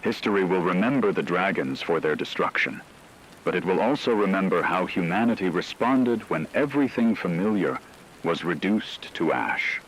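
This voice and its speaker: Casting: a man playing a solemn, deep-voiced news anchor for a radio disaster drama, formal and rhetorical, faint background noise. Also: expressive, normal speed, fairly bright tone, rough recording. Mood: solemn, resolute. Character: grave male radio news anchor reporting an apocalypse.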